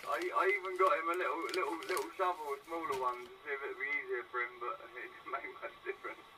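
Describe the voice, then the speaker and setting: A man's voice: fairly high pitch, steady tone, quick pace. A middle-aged or older British man chatting casually over CB radio, matey and hesitant, often repeating his words. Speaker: CB radio caller. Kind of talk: casual chat. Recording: distant, noisy CB radio transmission.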